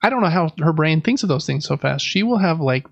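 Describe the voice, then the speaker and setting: A man's voice: fairly high pitch, animated, a little fast, gravelly, no room sound. A man hosting a podcast, chatting casually about board games and explaining things step by step in a conversational way. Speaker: podcast host. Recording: dry, slightly dull lo-fi sound.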